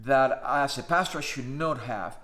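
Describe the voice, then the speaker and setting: A man's voice: medium pitch, normal speed, fairly bright tone. Earnest Cuban-accented man in his fifties. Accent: Cuban Spanish. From Cuba. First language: Spanish. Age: 50s. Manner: heartfelt and emphatic, with frequent "uh" fillers. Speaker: pastor. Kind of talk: earnest personal testimony to camera. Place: indoors, in front of a flag.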